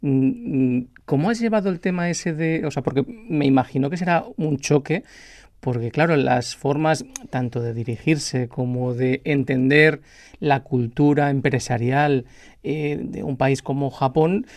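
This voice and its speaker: A man at a medium pitch hosts a relaxed chat, friendly and a little hesitant. He often restarts his questions mid-sentence. The recording is close and dry. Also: a little slow, no echo. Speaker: interviewer, host. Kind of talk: relaxed interview conversation.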